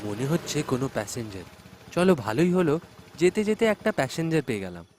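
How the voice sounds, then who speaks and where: A man's voice: a little high, sing-song, fast, a little mellow, a little hiss or hum. A man playing a jumpy, superstitious autorickshaw driver in a folktale, exclamatory and given to muttering to himself. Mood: puzzled, practical.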